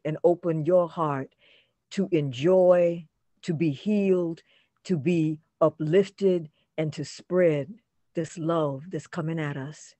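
Older woman, very deliberate and slow. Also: lowish voice, darkish tone, no echo. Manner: emphatic, phrase-by-phrase delivery. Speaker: interview guest, actress. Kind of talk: heartfelt, deliberate remarks. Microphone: video call.

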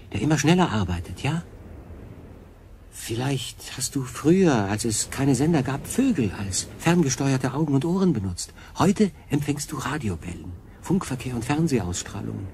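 A stranded lone sailor acted by a man talks in a male voice of middle pitch. He is curious and probing, thinking aloud in questions. This is radio drama with faint ambient noise behind him. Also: darkish tone, natural voice. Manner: questioning, reflective.

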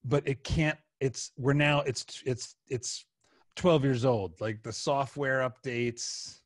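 A man's voice: medium pitch, fairly even intonation, slow pace, dry recording. A middle-aged man hosting a podcast in casual conversation, speaking into a close microphone. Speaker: podcast host. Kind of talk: casual chat. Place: small room with sofa, indoors. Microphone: desk microphone close up, headset.

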